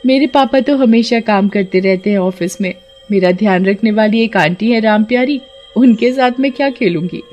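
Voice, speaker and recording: A woman's voice: medium pitch, normal speed, darkish tone, muffled recording, faint music behind, natural voice. A woman voicing a lonely, sweet little rich girl in a cartoon, with a plaintive, childlike manner. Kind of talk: Hindi cartoon voice acting.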